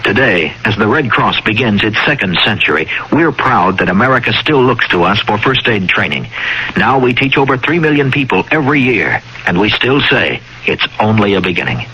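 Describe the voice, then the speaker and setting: A man's voice: on the low side, big pitch swings, normal speed, husky, lo-fi sound. A man narrating a scripted public service announcement in storytelling style on radio. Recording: old radio broadcast, loud background noise.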